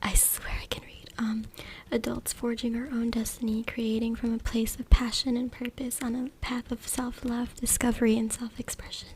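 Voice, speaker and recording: Whispering woman, breathy ASMR reading. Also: fairly high pitch, monotone, moderate pace, hardly any pauses. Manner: hushed, informal. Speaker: ASMR creator. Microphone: close USB microphone. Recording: noisy USB mic with clicks, tapping. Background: tapping, scratching, clicks.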